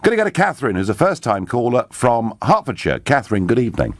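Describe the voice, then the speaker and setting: A man's voice: medium pitch, sing-song, fast. A man hosting a radio phone-in, pressing callers on their views with a teasing, assertive manner. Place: radio studio.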